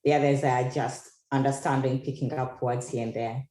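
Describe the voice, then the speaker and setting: A woman's voice: rumbling low, steady tone, normal speed, warm tone. A woman hosts a conversational interview. Her phrasing is tentative and affirming, and the sound is noticeably echoey and roomy. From Uganda. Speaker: interview host.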